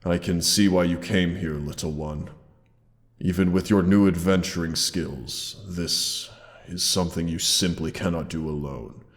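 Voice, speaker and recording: A man voicing a gentle, caring old dragon in a roleplay audio drama, speaking formally and tenderly with dramatic pauses. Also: low-pitched, steady tone. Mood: resolute, protective. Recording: long cavernous reverb.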